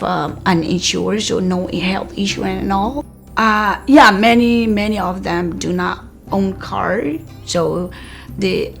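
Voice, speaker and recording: A woman whose first language is Karenni, originally from Myanmar, answering interview questions as a community health worker. Her mid-pitched voice carries a noticeable gravelly fry, and she pauses often with "um" and "like". Soft music plays faintly beneath her. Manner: measured, with frequent "um" and "like" pauses.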